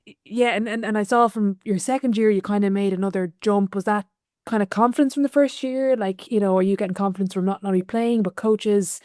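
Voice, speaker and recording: A woman's voice: medium pitch, fairly even intonation, brisk, a little mellow, no echo, studio quality. An Irish woman hosting a podcast interview, asking chatty, eager questions with some stumbling starts. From Ireland. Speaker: podcast host.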